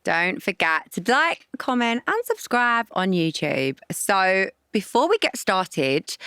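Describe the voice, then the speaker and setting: A woman's voice: on the low side, a bit bright, clean tone, dry recording, studio quality. A young woman co-hosting a podcast in casual chat, hungover, talking in a giggly, rambling way. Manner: chatty, giggly, lots of "like". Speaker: podcast co-host. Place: podcast studio. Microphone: studio microphone.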